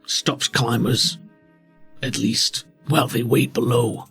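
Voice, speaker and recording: A man's voice: mid-range voice, flat delivery, slow pace, vocal fry, a bit bright, breathy edge, faint music behind, exaggerated voice. A man voicing a terse, guarded cave dweller in a tabletop game, speaking in clipped, broken sentences.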